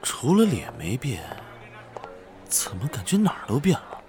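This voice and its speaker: An actor playing a chatty, nosy, worldly friend of a rich heir, casual and teasing in audio drama dialogue. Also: male voice, medium pitch, huge pitch swings, a little slow, a little mellow, a little hiss or hum. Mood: teasing, curious.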